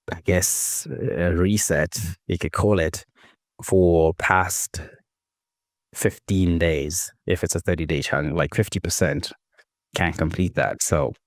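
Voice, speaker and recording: A man recovering from a cold, his voice slightly hoarse, leading a devotional reflection in an unhurried, gentle way with frequent self-corrections. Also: low voice, dry recording, clean studio sound. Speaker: devotional host.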